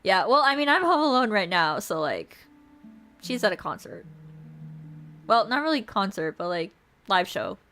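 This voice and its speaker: Woman streamer with mid-pitched voice over music. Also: sing-song, normal speed, pauses often, bright, forceful tone. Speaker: streamer and narrator. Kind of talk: visual novel read-aloud narration with casual stream chat. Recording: music playing behind the voice.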